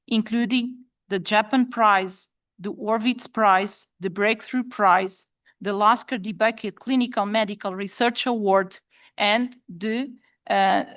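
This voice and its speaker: A woman's voice: mid-range voice, a bit bright. Woman formally introducing a speaker. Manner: formal, measured reading with occasional fillers. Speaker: workshop moderator.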